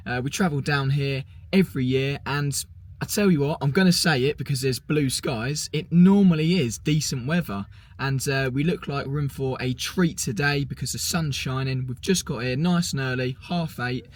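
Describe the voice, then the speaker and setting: A man hosting a vlog, a bit croaky, chatting upbeat and admiring as he walks around an outdoor car show. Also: medium pitch, normal speed, dry recording. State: slightly croaky voice. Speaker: vlog host. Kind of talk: casual walkaround commentary. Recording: music playing over the voice. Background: background music.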